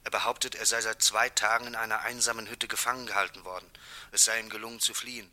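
Junior policeman, very bright, forceful, fairly low voice, formal reporting, radio crime play. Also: level tone, fast. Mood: urgent, formal. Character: dutiful male police subordinate reporting urgent news. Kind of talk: German radio crime drama acting.

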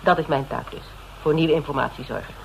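An actor playing a persistent, polite private detective in a Dutch radio drama, speaking in a measured, explanatory, quietly probing way. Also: male voice, a little high, creaky. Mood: calm, explanatory. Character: persistent male private detective questioning a suspect, methodical and polite. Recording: noisy old radio drama recording.

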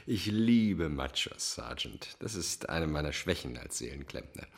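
An actor playing a manipulative, menacing psychiatrist in a German radio crime drama, polished, formal and controlled in speech. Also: male voice, extremely low pitch, very animated, unhurried, vocal fry, heavily acted. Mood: smoothly polite. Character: suave, manipulative, menacing male psychiatrist villain.

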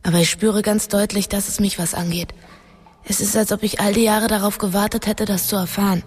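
A woman's voice: medium pitch, steady tone, fairly quick, a little mellow, breathy edge, close to an everyday voice. An actress playing a determined young woman in a radio drama, earnest, probing and a little tentative. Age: young adult. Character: determined young woman searching for hidden truth.

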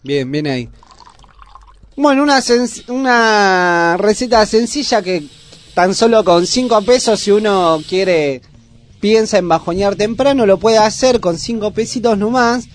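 Male Argentine host, high voice, informal cooking talk, colloquial and full of diminutives. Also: animated, measured pace. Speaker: host of a youth culture radio cooking segment. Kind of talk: casual cooking chat.

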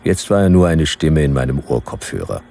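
A man's voice: very deep voice, very animated, brisk, gravelly, dark tone, a little hiss or hum, exaggerated voice. A man playing a hard-boiled private detective, narrating a radio play in dry, clipped first-person sentences. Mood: dry, wry.

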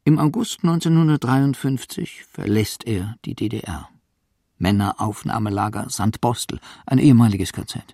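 A man narrating a scripted radio feature in a deliberate, pointed way. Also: mid-range voice, creaky, warm tone, dry recording, studio quality.

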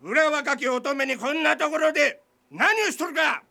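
A man's voice: very high-pitched, moderate pace, gravelly, bright, forceful tone, exaggerated voice. A man playing a feisty, boastful old Chinese feng shui master in a radio drama, with theatrical, archaic old-man speech. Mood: indignant. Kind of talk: Japanese radio drama acting.

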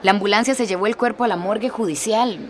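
A woman's voice: medium pitch, quick pace, lightly acted. An actress playing a composed, caring emergency colleague of a policeman in a radio drama. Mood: concerned. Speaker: voice actor. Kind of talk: Spanish-language radio drama acting. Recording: noisy radio drama background.

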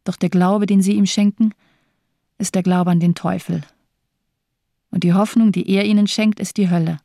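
Actress playing a dark-voiced, bitterly cynical woman doctor. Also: mid-range voice, steady tone, moderate pace, no echo, studio quality, close to an everyday voice. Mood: grim, scornful. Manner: declamatory, sardonic, eloquent. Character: bitter, cynical morphine-addicted female hospital doctor. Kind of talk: radio drama monologue.

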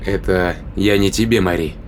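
An actor playing a defiant, quick-thinking spaceship captain in a science fiction audio drama, terse, commanding and rough-tongued. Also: male voice, low voice, a little hiss or hum, close to an everyday voice. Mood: gloating, angry.

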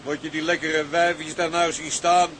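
A man's voice: fairly high pitch, little pitch movement, moderate pace, a bit bright, slight background noise, lightly acted. An actor playing a coarse, complaining sailor in a radio drama, grousing as he talks. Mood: disgruntled. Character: coarse, complaining male sailor hungry for shore leave.

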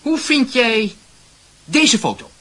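Cocky teen schoolboy, high lively voice, flippant, theatrical, radio play. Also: male voice, heavily acted. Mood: casual. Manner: flippant, theatrical, drawn-out delivery. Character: cocky, evasive teenage schoolboy who dances and mocks. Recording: noisy radio play recording.

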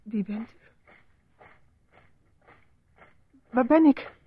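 A woman's voice: on the high side, moderate pace, breathy edge, phone-quality sound. An actress playing a confused, distressed woman patient in a radio drama, asking anxious, faltering questions. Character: distressed, confused female hospital patient after brain surgery.

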